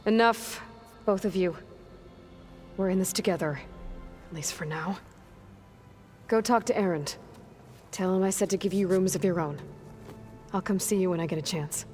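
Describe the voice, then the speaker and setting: Actress as commanding young warrior woman, low-voiced, reverberant. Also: moderate pace, pauses often, a little mellow, lightly acted. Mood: firm, exasperated. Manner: commanding, plain-spoken. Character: determined young female warrior leading a band of allies. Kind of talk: video game cutscene acting. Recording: long reverb, music behind. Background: music.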